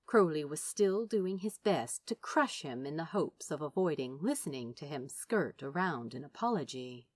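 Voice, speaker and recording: A woman reading fiction aloud as a narrator, dramatic and wry, with deliberate phrasing. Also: sits low, animated, dry recording. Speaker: audiobook-style narrator. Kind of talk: expressive scripted fiction narration.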